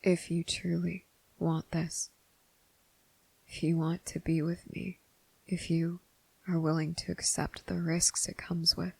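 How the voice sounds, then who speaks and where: A man playing a gentle, anguished young vampire in an ASMR roleplay, speaking in a hushed, halting way. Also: fairly high pitch, exaggerated voice, rough recording. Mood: resigned, tender. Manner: hesitant, halting, breathy, hushed.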